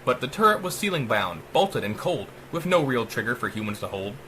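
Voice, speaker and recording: Animated man narrating dark rhyming verse. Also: mid-range voice, a little fast, slight background noise. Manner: theatrical, sing-song verse cadence. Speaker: author reading own story. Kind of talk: dramatic rhymed audiobook narration.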